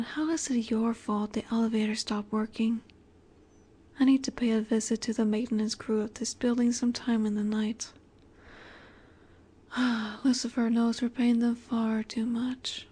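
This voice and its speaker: A woman playing a poised, teasing young vampire in an intimate audio roleplay, speaking gently and unhurriedly with breathy asides. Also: fairly high pitch. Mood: wry, reassuring.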